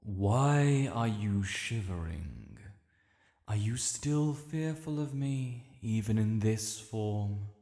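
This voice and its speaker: A British man voicing an ancient dragon lord, regal, stern yet protective, with formal, measured diction in a fantasy audio roleplay. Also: on the low side, animated, dark tone. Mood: gently concerned. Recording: echoey cavernous reverb.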